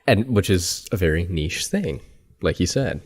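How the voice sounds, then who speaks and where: A man's voice: deep voice, lively intonation, a little slow. A man hosting an interview podcast, giving many short affirmations and chatting casually with his guest. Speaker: podcast host.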